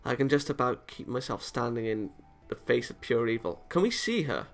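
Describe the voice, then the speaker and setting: Man, gravelly voice, dramatic read-aloud of a visual novel, offhand reactions, stumbles and rereads, soft music behind. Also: medium pitch, big pitch swings, moderate pace. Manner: often stumbles over words and rereads them. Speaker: gaming video maker reading a visual novel aloud.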